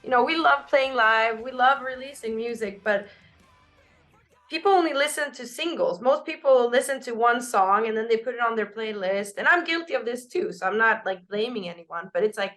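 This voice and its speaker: A woman singer with a naturally raspy voice, answering interview questions in a loose, candid way, full of "like" and "you know". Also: on the high side, animated, normal speed, a bit bright, soft background music. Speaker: band singer.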